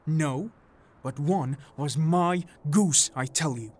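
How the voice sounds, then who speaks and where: A woman's voice: low-pitched, takes it slowly, a little mellow. A woman voicing a weak, nervous little man in a dramatised audiobook, plaintive and easily agitated. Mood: pleading.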